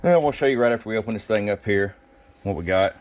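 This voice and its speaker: A man's voice: fairly low pitch, fast talker, a little mellow. A man giving a casual, plain-spoken hands-on demonstration as a radio technician.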